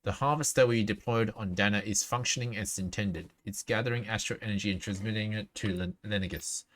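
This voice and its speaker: A man livestreaming a video game, reading dialogue aloud haltingly and dropping in his own reactions. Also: on the low side, fairly bright tone. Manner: reads on-screen text aloud haltingly, interjects reactions. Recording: clearly noisy mic with clicks.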